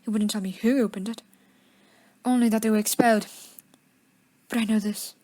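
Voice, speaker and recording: A woman's voice: on the high side, steady tone, moderate pace, mellow, lightly acted. A woman playing a spiteful, arrogant schoolboy wizard bully with a sneer in a fan audio drama. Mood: smug, conspiratorial.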